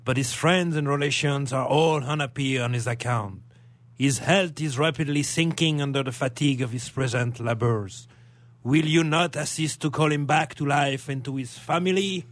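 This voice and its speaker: A man playing an earnest, concerned gentleman in a radio drama, with formal, measured theatrical delivery. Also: mid-range voice, dry recording, natural voice. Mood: earnest, worried.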